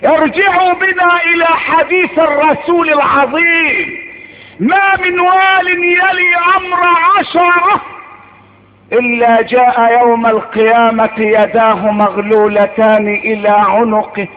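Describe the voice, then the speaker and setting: A man's voice: very high voice, steady tone, a little slow. A man with an Egyptian accent delivering an impassioned sermon, emphatic and declamatory, repeating phrases for effect. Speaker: preacher. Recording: phone-like band, long hall reverb.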